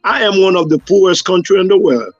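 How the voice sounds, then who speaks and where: A man's voice: sits high, animated, fairly quick, no room sound, muffled recording, soft background music. Earnest Haitian-accented man host. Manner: earnest and preachy. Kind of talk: casual chat.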